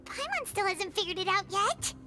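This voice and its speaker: A woman voicing a small, childlike, excitable fairy companion in a video game, speaking in exclamations. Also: extremely high pitch, moderate pace. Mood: puzzled. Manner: speaks of herself in third person, exclaiming. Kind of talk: video game character acting. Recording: game music behind the voice.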